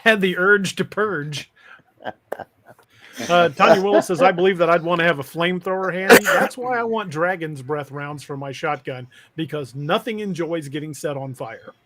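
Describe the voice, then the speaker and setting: A man's voice: on the high side, normal speed, bright, forceful tone. A man hosting a casual livestream talk, chatting and reading out comments from viewers. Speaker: podcast host.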